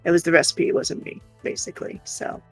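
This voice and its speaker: A woman's voice: quick pace, no room sound, faint music behind. A woman co-hosting a livestream in casual, rambling chat. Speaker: livestream co-host.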